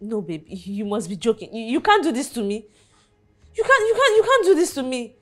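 A woman's voice: on the high side, very animated, brisk. An actress playing a devoted wife who pleads with and confronts her husband, often repeating herself. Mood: pleading.

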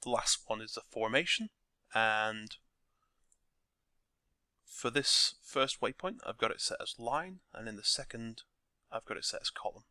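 A man with a croaky voice talking through a game scripting tutorial step by step from his desk. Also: medium pitch, measured pace, long pauses, no echo. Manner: relaxed step-by-step explaining. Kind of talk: screen-recorded tutorial narration.

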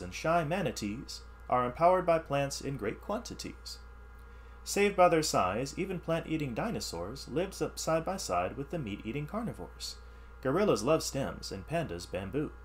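A man teaching through an expressive read-aloud of rhyming science verse, with explanatory asides in an instructional manner. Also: medium pitch, slight background noise. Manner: animated, instructional.

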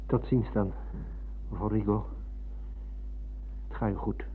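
A man's voice: fairly low pitch, steady tone, slow, a little hiss or hum. An actor playing a polite, reflective former pupil, nostalgic for his village youth, in a radio play. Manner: courteous, reflective. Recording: muffled, lo-fi old radio recording.

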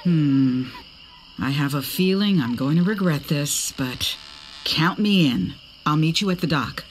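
A performer playing a weary, reluctant pirate recruit in a video game, deadpan and grudging. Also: mid-range voice, unhurried, a little hiss or hum, natural voice, rough recording. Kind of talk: video game character acting.

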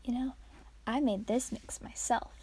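Woman playing a soft-spoken, absent-minded part-dragon traveler. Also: on the high side, steady tone, unhurried, lightly acted. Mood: absent-minded, friendly. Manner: soft-spoken, chatty, musing. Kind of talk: ASMR roleplay. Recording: faint noise, clicking sounds, outdoors.

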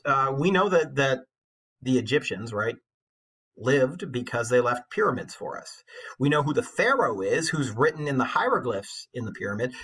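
Male English teacher, forties, forceful and a little husky, conversational lecture delivery with earnest emphasis. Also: on the high side, rough recording. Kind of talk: informal literature lecture.

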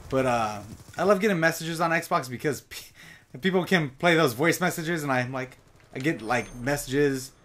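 A young man giving casual live commentary over his own gameplay, talking straight to his viewers, his voice a bit raspy from long sessions. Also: a little high, normal speed, a bit bright. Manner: chatty, casual, addresses viewers directly.

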